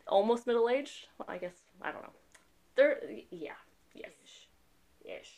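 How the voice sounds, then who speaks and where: A woman's voice: a little high, animated, slow pace, darkish tone. A woman in her thirties co-hosting a casual podcast chat, rambling and laughing often. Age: 30s. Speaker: podcast co-host.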